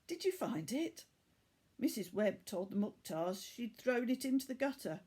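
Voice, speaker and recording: A woman reading the part of a weary, earnest boy from Bangladesh in a storybook, telling his story in a measured way. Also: fairly high pitch, sing-song, warm tone, breathy edge. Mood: regretful.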